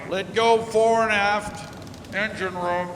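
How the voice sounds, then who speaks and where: A man playing a brisk, commanding steamship captain giving orders in an old-time radio drama. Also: high-pitched, fairly even intonation. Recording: echoey, noisy live hall recording.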